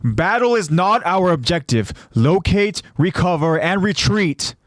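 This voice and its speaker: Man as stern anime squad leader, commanding, clipped, raised pitch, emphatic intonation. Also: animated, a little slow, a bit bright, dry recording, clean studio sound. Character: stern male squad leader briefing soldiers.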